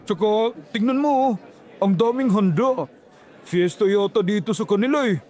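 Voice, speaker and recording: A man's voice: sits high, normal speed, darkish tone, natural voice. A man playing a lazy, dismissive young guy.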